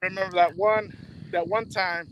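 A laid-back man talks about his fishing gear from a dark riverbank, ending sentences with "man". His voice sits fairly high for a man. He sounds relaxed and easygoing, in casual live-stream chat. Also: normal speed, poor audio. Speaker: guest angler. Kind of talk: casual chat on a video call. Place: riverbank at night, outdoors.

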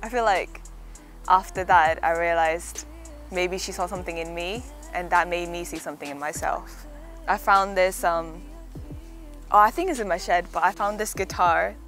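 A woman's voice: on the low side, normal speed, a bit bright, soft background music. Young woman, slight American accent, chatty. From Hong Kong. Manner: chatty, reflective, laughs easily. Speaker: interviewee, singer-songwriter. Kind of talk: relaxed documentary interview. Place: outdoors in a back garden.

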